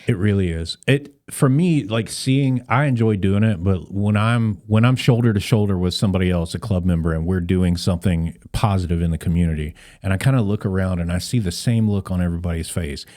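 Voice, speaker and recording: Deep, very mellow man explaining earnestly. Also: a little fast, hardly any pauses. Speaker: podcast guest, civic club member. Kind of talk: conversational interview.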